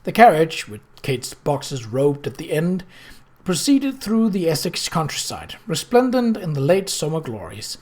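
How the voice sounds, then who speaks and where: A narrator reading a novel aloud in an expressive, scripted storytelling style. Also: mid-range voice, a little slow. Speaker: audiobook narrator.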